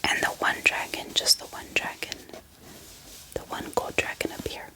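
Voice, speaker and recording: A woman speaking in a soft, breathy ASMR whisper, gentle and unhurried, like a book vlogger showing off her collection. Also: low-quality recording.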